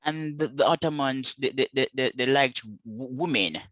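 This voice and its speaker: Male host, Jamaican accent, mid-pitched and sharp-toned, very dry recording, measured scholarly interview style with the odd repeated word. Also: dry recording.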